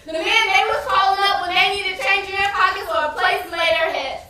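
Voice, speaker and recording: A woman's voice: extremely high pitch, steady tone, bright, forceful tone. An actress playing a grieving, fiercely loyal woman in an impassioned, anguished theatrical monologue. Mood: anguished, outraged. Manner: impassioned, anguished, drawn-out emphatic cries. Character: grieving, fiercely loyal woman mourning her slain man. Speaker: stage actress. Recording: distant, echoey stage recording with noise.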